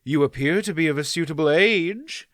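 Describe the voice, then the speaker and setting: A man voicing a shrewd older woman who speaks matter-of-factly, a bit raised and bright. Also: on the high side, moderate pace, no echo, studio quality, voice pushed up, natural voice. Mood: sly. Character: shrewd, dry-witted older aunt, woman.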